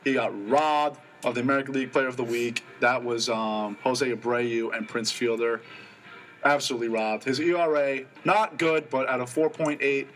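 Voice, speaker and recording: A young man co-hosting a college sports radio show, reading off stats in casual, excitable talk. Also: mid-range voice. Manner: rattles off stats quickly, excitable.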